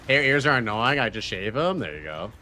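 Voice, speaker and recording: A man in his thirties streaming a video game live, chatting casually with his viewers and reacting excitedly with slang and swearing. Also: medium pitch, fairly quick, a little hiss or hum. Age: 30s. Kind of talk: casual live-stream chat while gaming.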